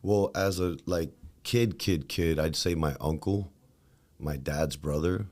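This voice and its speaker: A man's voice: rumbling low, takes it slowly, darkish tone. A man from Miami, a fitness trainer, chatting loosely as a podcast guest with lots of fillers and restarts. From Miami, Florida, USA. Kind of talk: casual interview talk.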